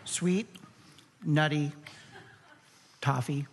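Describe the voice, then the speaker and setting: A man's voice: on the high side, steady tone, extremely slow, slight background noise. A man speaking as an expert guest in a live interview, describing things on stage. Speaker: guest, professional cheesemonger. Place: stage before a live audience.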